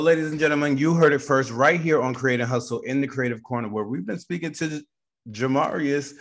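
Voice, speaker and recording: An American man hosting a casual interview, informal and encouraging as he talks with his guest. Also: medium pitch. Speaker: interview show host.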